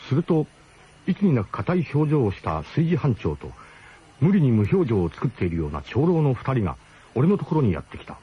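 Animated male storyteller, dull old radio sound. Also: mid-range voice, fairly quick, breathy edge. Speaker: narrator. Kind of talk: dramatic first-person storytelling in a radio drama. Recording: dull, slightly noisy old radio broadcast.

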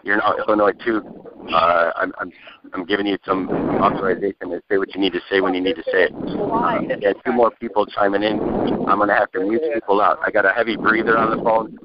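A man's voice: on the low side, fairly even intonation, fairly quick, very noisy. A man moderating a group phone call, giving blunt, informal directions to callers. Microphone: telephone. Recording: dull phone line, crowded conference call.

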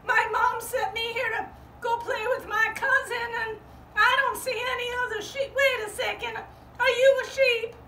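A timid little sheep girl acted by a woman bleats in a very high, squeaky voice and trips over shy ums and uhs. The sound is roomy and distant, as if recorded from across a room. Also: steady tone, moderate pace, bright, forceful tone, exaggerated voice. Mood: startled. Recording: roomy, from far off, faint hum.

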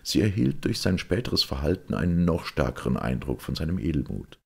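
Male audiobook narrator, rock-bottom register, heavy gravel, expressive and measured literary storytelling. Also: rumbling low. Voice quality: gravelly, husky. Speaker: audiobook reader.

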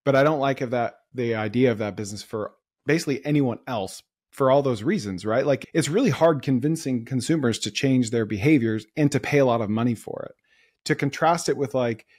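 A man hosting a business podcast, asking quick probing questions and thinking aloud about the idea. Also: mid-range voice, a little mellow. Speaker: podcast host. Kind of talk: casual interview chat.

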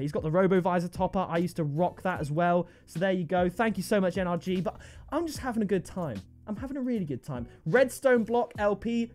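A man, commenting on game item trades at his desk, excitable and jumping to high-pitched disbelief. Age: 20s. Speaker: video game trading streamer. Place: gaming room indoors.

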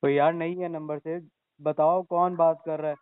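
A man's voice: a little high, little pitch movement, normal speed, smooth, no echo. Annoyed guy on a call, short replies, dry tinny phone audio. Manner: curt, irritated. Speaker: team member receiving a prank call. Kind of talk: phone conversation.

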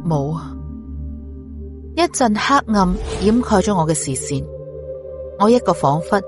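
A woman narrating a scripted audiobook story. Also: medium pitch. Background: music.

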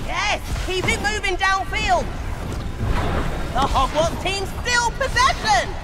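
A woman voicing an excitable match commentator in a fantasy sports video game, calling play-by-play with urgent exclamations. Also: very high voice, slow pace, a loud crowd, very noisy, full character voice. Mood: excited. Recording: music behind the voice.

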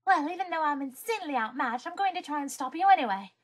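Girl playing a naive young lady cop, polite, high voice, cartoon parody. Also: expressive, fast talker. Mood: resigned. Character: polite naive young female police rookie. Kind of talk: fan-dub parody cartoon acting.

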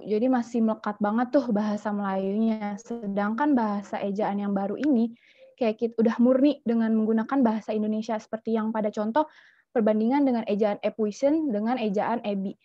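A young woman answers a question on a video call, soft and a little hesitant. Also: fairly high pitch, dry recording. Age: young adult. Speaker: student presenter. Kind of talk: casual explaining on a video call. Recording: dull lo-fi call audio with faint music.

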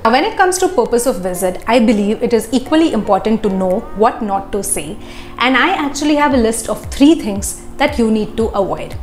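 A woman's voice: a little high, brisk, faint music behind. Young woman coaching to camera, roomy office. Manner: clear, instructive, steady. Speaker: visa interview coach. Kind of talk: advice video to camera. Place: office with glass walls.

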